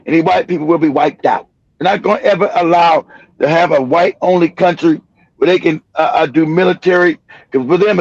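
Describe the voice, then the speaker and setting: A man hosting a livestream, talking in an animated, emphatic way, swearing and repeating himself. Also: sits high, vocal fry, dry recording. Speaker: livestream host. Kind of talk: heated livestream commentary. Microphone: streaming mic. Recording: dry, dull lo-fi stream audio.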